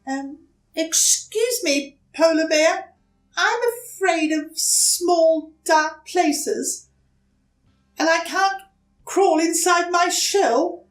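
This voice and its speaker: A woman's voice: extremely high pitch, drawn-out words, soft background music, pitched above the performer's own voice, heavily acted. A woman voicing a timid, polite young turtle boy in a children's storybook, with a hesitant, pleading manner. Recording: roomy echo, distant mic.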